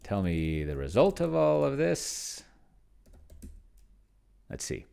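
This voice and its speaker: A man's voice: on the low side, wildly swooping pitch, takes it slowly, vocal fry, mellow. A man thinking aloud in casual commentary as he plays a roleplaying game, often muttering, trailing off and drawing words out while typing. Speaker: solo tabletop roleplayer and video host. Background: computer keyboard typing.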